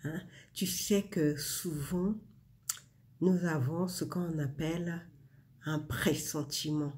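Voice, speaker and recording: A woman's voice: low-pitched, steady tone, takes it slowly, darkish tone. A woman in her forties talking casually to her viewers in a spiritual vlog, speaking intimately to the listener with frequent fillers. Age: 40s. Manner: intimate, addresses the listener directly, many fillers. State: winding down before bed. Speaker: vlogger sharing inspiration.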